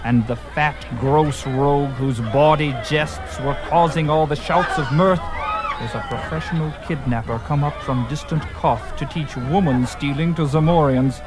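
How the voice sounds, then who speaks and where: A man's voice: on the high side, a little slow. A man narrating a fantasy story in a vivid, theatrical, literary storytelling style. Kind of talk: dramatic scripted fantasy narration. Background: music.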